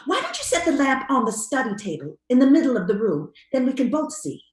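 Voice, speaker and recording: An actress playing a matronly sister in an old-time radio style ghost drama. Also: female voice, high-pitched, wildly swooping pitch, fairly quick, echoey room. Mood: exasperated. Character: Mrs. Brigham, placid matronly sister turning horrified.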